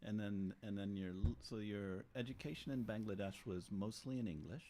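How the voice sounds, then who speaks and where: A middle-aged man hosting a radio interview, asking questions in a halting way and restarting them, into a boom mic in a studio. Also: dark tone, slight background noise. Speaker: radio host. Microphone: boom mic with headphones.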